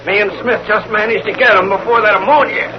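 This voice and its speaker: A man's voice: high voice, steady tone, fast, highs cut off, close to an everyday voice. A man acting a plain-spoken, respectful plant workman with folksy grammar in a vintage radio drama, on an old recording. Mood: shaken, reassuring.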